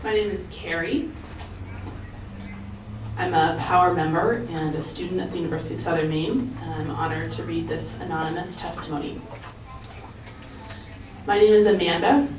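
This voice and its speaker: A young woman student quietly reading out a testimony at a public hearing. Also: on the low side, normal speed, pauses often, a little hiss or hum. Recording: echoey hall, distant mic.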